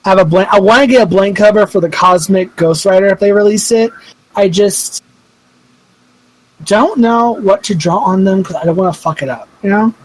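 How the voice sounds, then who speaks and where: A man's voice: sits high, moderate pace, poor audio. A man in his twenties in casual podcast chat, rambling and swearing freely as a co-host. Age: 20s.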